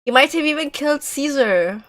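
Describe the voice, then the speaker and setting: A woman giving casual, expressive live commentary as she streams a game, reacting aloud with slangy, sweary talk. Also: high voice, normal speed.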